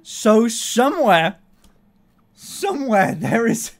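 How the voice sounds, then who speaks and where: Excitable streamer woman, very lively pitch. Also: takes it slowly. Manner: excitable, swears, laughs often. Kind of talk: casual chat. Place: bedroom streaming setup. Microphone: desk mic on arm.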